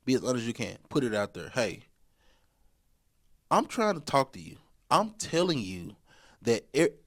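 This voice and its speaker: A man's voice: medium pitch, very animated, normal speed, fairly bright tone. A man in his thirties co-hosting a podcast, giving relationship advice in a rambling, colloquial way, often repeating himself and laughing. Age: 30s. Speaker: podcast co-host. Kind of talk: casual relationship advice talk.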